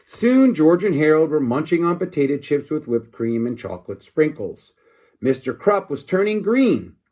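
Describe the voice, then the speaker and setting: A man's voice: a little high, sing-song, normal speed, warm tone. A man reading a children's story aloud expressively, with short asides to his listeners. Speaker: storybook reader. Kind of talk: animated children's read-aloud narration.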